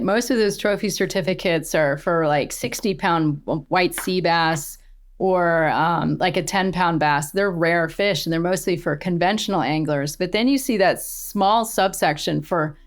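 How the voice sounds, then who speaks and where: A middle-aged woman with a somewhat low voice, chatting as a podcast guest. She tells her angling stories with eager energy and laughs easily. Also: measured pace. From born in Australia, raised in the eastern United States. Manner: enthusiastic storyteller, laughs often. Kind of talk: casual interview chat.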